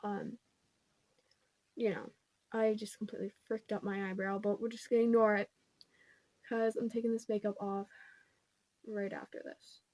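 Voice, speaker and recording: A woman's voice: medium pitch, little pitch movement, normal speed, long pauses, a little mellow, dry recording. A young woman vlogger, congested, casually telling a rambling storytime at home, full of fillers like "like" and "pretty much".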